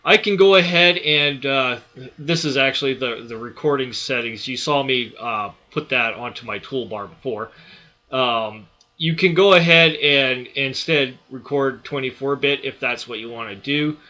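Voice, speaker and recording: A man giving a software tutorial, explaining each step in an unhurried way with many fillers, speaking into a desktop studio microphone. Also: mid-range voice. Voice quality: loud voice. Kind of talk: screen-recorded tutorial.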